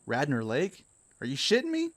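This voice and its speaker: A man's voice: a little high, huge pitch swings, moderate pace, dry recording. A man playing a hefty, irritable young rock musician in a horror story, complaining in profane slang. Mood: alarmed. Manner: griping, profane slangy talk. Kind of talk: dramatized horror audiobook dialogue.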